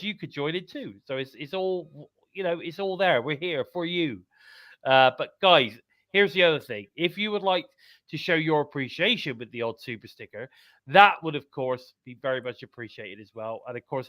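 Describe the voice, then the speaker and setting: British male podcast host, stuffed-up nose, bright upbeat voice, dry close mic. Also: fairly high pitch, fairly quick, no room sound. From United Kingdom. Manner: chatty, upbeat, repeats calls to subscribe. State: congested, bunged up. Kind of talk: live wrestling podcast chat.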